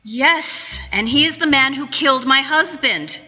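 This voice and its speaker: An actress playing an imperious, literal-minded widow in a comic radio play. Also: female voice, high-pitched, measured pace, pushed and bright, heavily acted. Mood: indignant. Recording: echoey event hall, music behind.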